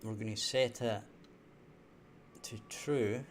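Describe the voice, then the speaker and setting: A young man with a softened Scottish accent, thinking aloud as he works through a live game development stream. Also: fairly even intonation, slow, slight background noise. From Scotland. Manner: thinking aloud while working, low-key. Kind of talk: live coding stream talk.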